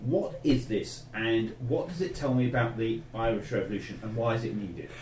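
A man hosting a history podcast, reading a scripted intro and asking measured, polite questions. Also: medium pitch, a little mellow, slightly rough. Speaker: podcast host.

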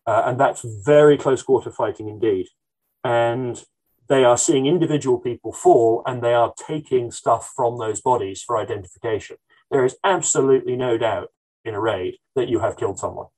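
A man's voice: medium pitch, low-quality recording. A man answering interview questions as a historian, thoughtful and hesitant, with frequent ums and restarts. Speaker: military historian guest.

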